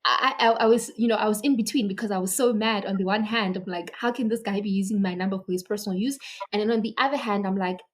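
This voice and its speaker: A young woman from Zimbabwe talks as a podcast guest in a relaxed conversational style. She tells her story with energy and often restarts her sentences. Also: medium pitch, quick pace. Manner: animated storytelling with repeated restarts. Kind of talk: casual conversation.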